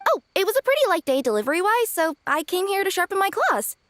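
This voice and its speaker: A woman's voice: extremely high pitch, big pitch swings, fast talker, fairly bright tone, dry recording, exaggerated voice. A woman playing a friendly, easily startled young female cat yokai courier in a video game, polite and bubbly with some hesitation. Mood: chatty, sheepish. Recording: game music behind the voice.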